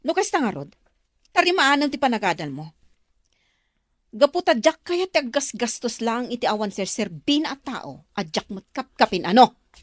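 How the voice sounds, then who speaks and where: A woman's voice: fairly high pitch, very animated, moderate pace, no echo, clean studio sound. An actress playing a harsh, scolding mother in an Ilocano radio drama, suspicious and resentful.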